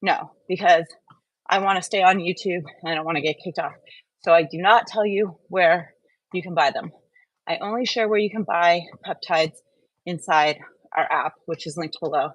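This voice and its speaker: A woman's voice: fairly low pitch, moderate pace, dry recording, dull audio. Chatty woman livestreaming from a treadmill. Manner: chatty, frank, addresses viewers directly. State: warming up from exercise. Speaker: wellness channel host. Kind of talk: casual livestream talk while walking on a treadmill. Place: indoors at home on a treadmill. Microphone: earbuds.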